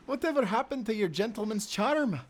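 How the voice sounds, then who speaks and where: Man acting a higher-voiced, spirited Scottish sailor. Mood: jaunty, teasing. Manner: jaunty nautical dialect. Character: spirited, confident Scottish sailor, loyal and teasing. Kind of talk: cinematic audio adventure acting.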